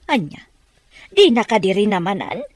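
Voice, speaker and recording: A woman's voice: medium pitch, sing-song, moderate pace, no echo. An actress playing a spiteful, domineering older mother who schemes, in an Ilocano radio drama. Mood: impatient.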